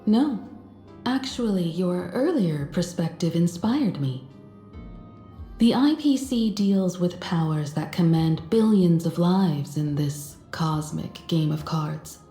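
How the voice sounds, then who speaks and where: An actress playing a poised, diplomatic space traveler in a video game, speaking politely and deliberately with earnest self-assurance. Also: female voice, on the low side, animated, mellow, reverberant, faint music behind. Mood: earnest, persuasive. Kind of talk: scripted video game character dialogue.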